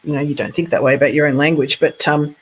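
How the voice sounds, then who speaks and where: A woman from Australia chatting on a live stream, talkative and quick to laugh, with many fillers. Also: deep voice, steady tone, a little hiss or hum. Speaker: film crew member guest. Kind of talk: casual live-stream chat.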